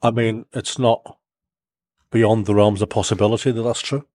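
A man's voice: lowish voice, steady tone, normal speed, darkish tone, no room sound, studio quality. A man with a light North Welsh accent chatting casually on a podcast, rambling and blunt, swearing now and then. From North Wales.

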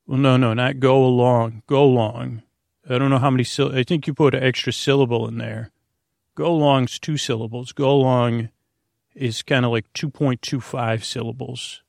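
A man with a creaky voice, improvising a meandering, halting bedtime podcast monologue full of asides and self-interruptions. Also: medium pitch, steady tone, darkish tone, no echo, clean studio sound. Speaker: podcast host.

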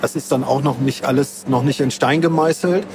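A man's voice: medium pitch, brisk. A man who works as a comic artist and author, chatting casually in an interview and explaining step by step in everyday words. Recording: clearly noisy, ambient background noise.

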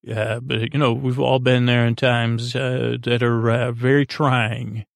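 A tired man hosting a sleep podcast, giving a meandering, halting bedtime monologue in which he often repeats words. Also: medium pitch, little pitch movement, gravelly, no echo, studio quality. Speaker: podcast host. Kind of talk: rambling bedtime monologue to help listeners sleep.

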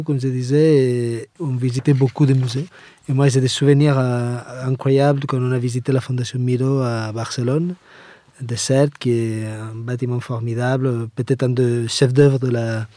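A man with a Spanish accent talks through his answers in an interview. His tone is soft-edged and mellow, at a middling pitch. The recording is very dry, with hardly any room sound. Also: no room sound. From Valencia area, Spain. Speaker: interviewee, engineer and architecture firm co-founder.

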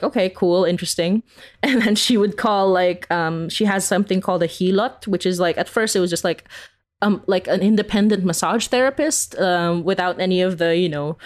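A woman from the Philippines chatting casually as an interview guest, telling stories in a giggly way peppered with "like". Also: fairly low pitch. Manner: animated storyteller, uses "like" constantly, giggly. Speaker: podcast creator, interview guest.

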